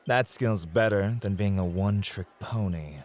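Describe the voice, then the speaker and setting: A man's voice: lowish voice, a little mellow, lightly acted. A man playing a suave, possessive and devoted club host in an ASMR roleplay, speaking in a teasing, flirtatious, intimate way. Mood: smug teasing. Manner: teasing, flirtatious, intimate address with drawn-out phrasing.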